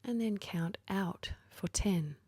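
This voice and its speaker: A woman leading a guided sleep meditation in a gentle, whispery, half-voiced delivery, leaving long pauses between phrases. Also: mid-range voice, slightly rough, no echo.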